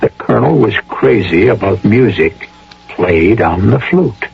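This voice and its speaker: Male radio mystery host, low voice with wide swings, theatrical narration, muffled vintage broadcast. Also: expressive, creaky, noisy background. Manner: theatrical, measured, rhetorical. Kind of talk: dramatic old-time radio narration.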